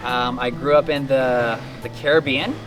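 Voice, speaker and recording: A man's voice: medium pitch, fairly even intonation, moderate pace, over music, rough recording. A man in his twenties from the Dominican Republic, talking as a guest in a casual interview, earnest and upbeat. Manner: earnest and upbeat, yelping at the cold.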